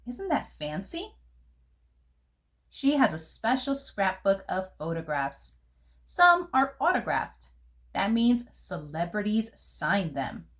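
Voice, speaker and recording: A woman voicing a fancy, dramatic little girl who loves glamour, telling her story from a children's book in an expressive, theatrical way. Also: medium pitch, slow pace. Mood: proud, delighted. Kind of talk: first-person storybook narration for children.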